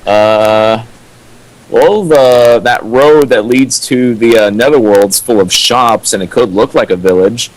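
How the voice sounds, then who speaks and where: A man's voice: medium pitch, takes it slowly, dry recording, rough recording. A man joining a stream as co-host, chatting casually and hesitantly with lots of fillers. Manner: rambling, hesitant, with many fillers. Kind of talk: casual joking chat.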